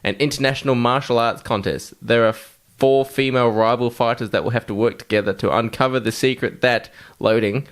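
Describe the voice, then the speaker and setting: A man's voice: mid-range voice, steady tone, a little fast, few pauses, smooth. A man co-hosting a podcast, chatting casually and comically about films in a blunt, sweary way. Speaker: podcast co-host. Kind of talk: casual comedic film chat.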